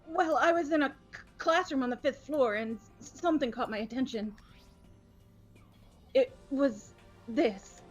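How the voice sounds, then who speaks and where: Casting: flustered, obsessive teenage girl for a game dub, very high-pitched stammer, defensive and fawning, faint music behind. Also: a little slow, pauses often, muffled recording. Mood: nervous. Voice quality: stuttering. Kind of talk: voiced visual novel game playthrough.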